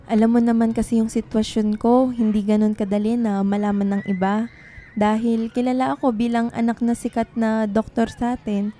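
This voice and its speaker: Radio drama part: actress as guarded, melancholy young woman student, soft-edged mellow tone, faint noise behind. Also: fairly high pitch, little pitch movement, moderate pace, close to an everyday voice. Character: secretive, melancholy young nursing student who writes stories.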